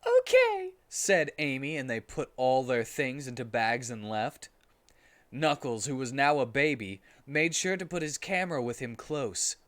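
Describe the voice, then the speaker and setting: A man streaming a comic dramatic reading with casual commentary, sounding amused and animated. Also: mid-range voice, unhurried.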